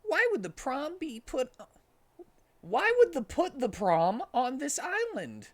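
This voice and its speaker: A man puts on a very high, squeaky young fox sidekick from a comic story reading. The little creature sounds earnest, anxious and kind, with a childlike delivery that swings widely in pitch. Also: huge pitch swings, slow pace, heavily acted. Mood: worried, caring.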